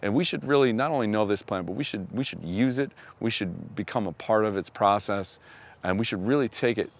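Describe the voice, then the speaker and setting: Earnest low-voiced man explaining outdoors. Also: animated, vocal fry. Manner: earnest, unhurried, repeats words while thinking. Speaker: foraging show host. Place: open field outdoors.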